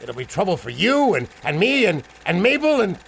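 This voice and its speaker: A man's voice: sits high, very animated, moderate pace, fairly bright tone, a little hiss or hum, exaggerated voice. A man playing an anxious, fatherly older studio manager who frets and pleads in old-fashioned slang. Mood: worried, pleading. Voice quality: creaky. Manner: fretful, pleading, Yiddish-flavoured slang. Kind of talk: old-time adventure serial acting.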